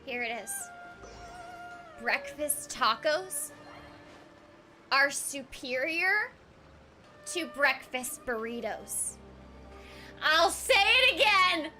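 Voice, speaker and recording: A woman from Texas, a voice actor streaming a game, chatting to her live audience in a bubbly, giggly, animated way. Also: high voice, extremely slow, long pauses, faint music behind.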